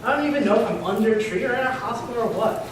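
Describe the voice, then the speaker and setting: A woman's voice: fairly high pitch, very fast, close to an everyday voice. A woman playing a stubborn, know-it-all newborn baby in a stage comedy at a readers theater. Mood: cheerful, chatty. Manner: blustering, know-it-all, wisecracking. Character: cocky, stubborn newborn baby, sure it's a girl. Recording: echoey hall, far mic, clear noise.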